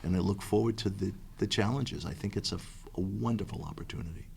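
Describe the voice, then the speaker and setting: A man answering as a candidate at a school committee meeting, enthusiastic but halting, with restarts. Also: sits low, creaky, a little mellow, slight background noise. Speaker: interim superintendent candidate. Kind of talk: public interview answer.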